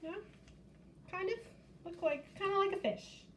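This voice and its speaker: A woman's voice: very high-pitched, normal speed, noisy background. A woman hosting a children's storytime, reading aloud and explaining in simple, child-directed language. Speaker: library storytime host. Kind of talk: picture-book reading and craft demonstration.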